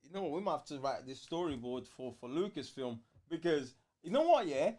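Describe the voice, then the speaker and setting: Animated London man, higher-pitched voice. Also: a little fast. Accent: London, British. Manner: slangy, opinionated, emphatic. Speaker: co-host of a film fan livestream. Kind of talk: casual fan debate chat.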